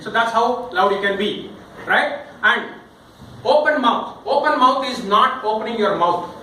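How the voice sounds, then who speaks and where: A man with an Indian accent giving an interactive public speaking training talk, jokey and animated, often checking with his listeners. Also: very high voice, unhurried. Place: indoor room in front of a live group. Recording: very echoey room, distant mic, noisy.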